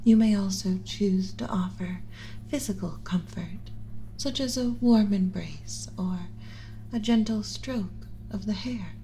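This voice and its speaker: Woman as slow, soothing motherly alien scientist. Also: mid-range voice, mellow, slight background noise, rough recording. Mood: calm, instructive. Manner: soothing, measured, instructional, often breathy and whispery. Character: gentle motherly alien scientist teaching a class about pet human. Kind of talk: ASMR roleplay.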